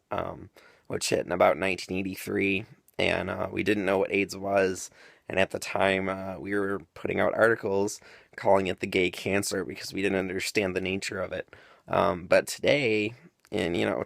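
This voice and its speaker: A young man with a very low, gravelly voice talks straight to the camera in a loose, rambling monologue. He explains things at length, filling pauses with ums and drifting into tangents. The sound is close and dry. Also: no echo. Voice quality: deep voice. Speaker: vlogger.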